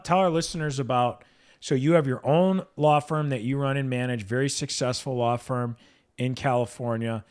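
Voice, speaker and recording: A middle-aged man hosting a podcast interview, a trial lawyer himself, speaking casually and conversationally with a lot of "you know"s. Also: medium pitch. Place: podcast studio.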